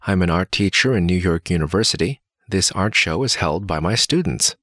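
A man reading lines of a scripted dialogue slowly and carefully, as in a listening test. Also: low voice, animated, fairly bright tone, slightly rough, no echo, studio quality.

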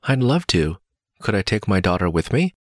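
Man, very low voice, dry close studio sound, careful textbook reading. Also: sing-song, normal speed, no echo. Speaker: voice actor in a listening test. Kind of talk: slow, carefully read scripted dialogue.

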